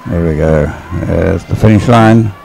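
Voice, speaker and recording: A man's voice: low voice, a little slow, gravelly. A man giving casual, explanatory live race commentary as a sports commentator.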